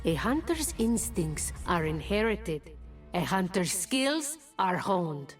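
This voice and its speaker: Proud jungle huntress from a video game, slow menacing delivery, fiercely protective, bit of music. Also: female voice, mid-range voice, sing-song. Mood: proud, solemn. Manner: deliberate, commanding, menacing delivery. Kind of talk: video game character voice lines.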